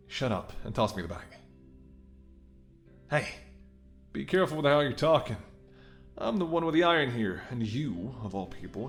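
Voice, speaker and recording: Casting: male actor for a smirking, dangerous hired gun, medium-pitched, sardonic and taunting, intimate audio roleplay drama. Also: vocal fry, natural voice. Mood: threatening. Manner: taunting, sardonic, swearing, drops to whispers. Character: menacing, smirking male mercenary ex-bodyguard holding someone at gunpoint.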